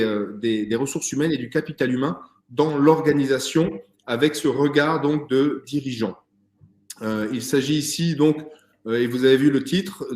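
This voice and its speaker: A man's voice: medium pitch, a little mellow, echoey room. A man hosting an online talk show interview by video call, hesitant and full of fillers. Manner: hesitant, many fillers and restarts. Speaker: host.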